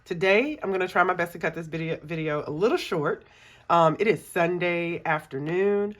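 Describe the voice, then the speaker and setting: Low-voiced woman with a scratchy cold voice. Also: normal speed. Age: middle-aged. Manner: chatty, many ums, counts money aloud. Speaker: budgeting channel host. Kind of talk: casual chat while cash stuffing.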